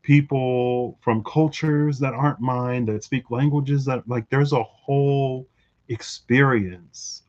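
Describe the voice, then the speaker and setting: A man thinking out loud in a rambling, reflective monologue for his tarot channel, full of fillers and restarts. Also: mid-range voice, fairly even intonation, takes it slowly, dull audio. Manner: musing, lots of fillers and restarts. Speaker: tarot reader vlogger.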